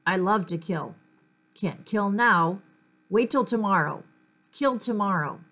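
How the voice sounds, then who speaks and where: A woman's voice: medium pitch, expressive, measured pace, a bit bright. Storybook villain's inner voice: obsessive, brooding, menacing , acted by a woman. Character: sinister unseen would-be killer's brooding thoughts.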